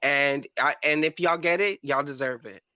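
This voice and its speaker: Male panelist, campy and emphatic, bright cutting tone, slightly lo-fi dry recording. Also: fairly high pitch, moderate pace, no echo. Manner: sassy, campy, emphatic slang with "girl" and "honey". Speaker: panelist on a pop culture talk show. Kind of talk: animated opinionated casual chat.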